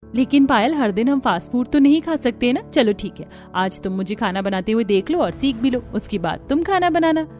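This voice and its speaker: A woman voicing a dutiful, earnest elder daughter-in-law in an animated family story. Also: fairly high pitch, a little fast, natural voice. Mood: gentle, firm. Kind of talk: Hindi cartoon story dialogue. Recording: music behind the voice.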